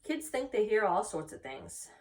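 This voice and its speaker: Woman playing an easygoing farm father, mild, amused, lively intonation, children's storybook. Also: mid-range voice, normal speed, far from the mic, close to an everyday voice. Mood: amused, reassuring. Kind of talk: children's storybook read aloud.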